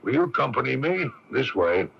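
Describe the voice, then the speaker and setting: An actor in a radio drama playing a stern, dutiful police constable who questions with formal courtesy. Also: male voice, mid-range voice, big pitch swings, gravelly. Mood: stern, accusing. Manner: deliberate, formally polite interrogation. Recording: lo-fi radio broadcast tape with hiss.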